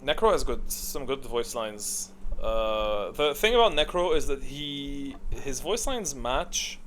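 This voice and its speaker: A man's voice: mid-range voice, animated, slow, a bit bright, a little hiss or hum. A man giving casual, unscripted, opinionated commentary as a video creator, thinking aloud and often answering his own questions. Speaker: video creator ranking game characters.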